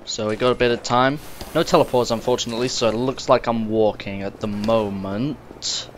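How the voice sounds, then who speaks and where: A young man, slightly croaky and sniffly, rambling casually to the viewer as he plays and comments on a game. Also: mid-range voice, steady tone, normal speed, background noise. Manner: rambling, chatty, talks straight to the viewer. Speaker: gamer recording gameplay commentary. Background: game music and sounds.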